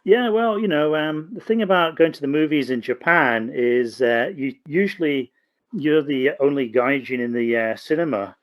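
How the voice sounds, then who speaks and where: A man co-hosting a podcast discussion, speaking in a measured, dry way with frequent fillers. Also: a little high. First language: English. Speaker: podcast co-host.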